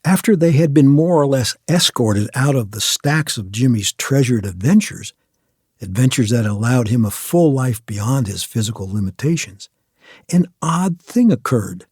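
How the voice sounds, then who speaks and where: A man narrating a scripted radio play in a measured storytelling manner. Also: mid-range voice, expressive, a little mellow, husky, no room sound, studio quality.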